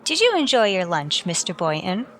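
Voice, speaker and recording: A woman playing a wry, lovestruck high school teacher in an old-time radio comedy, with a dry, sly manner. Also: huge pitch swings, normal speed, a bit bright. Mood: wry, coaxing. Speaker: lead actor and narrator. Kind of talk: old-time radio comedy acting, first-person narration.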